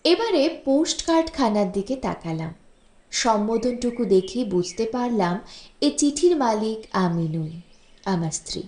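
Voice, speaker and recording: A woman reading a literary short story as an audiobook narrator, in a measured storytelling manner. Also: on the high side, sing-song, warm tone. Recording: echoey room, music bed underneath. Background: background music.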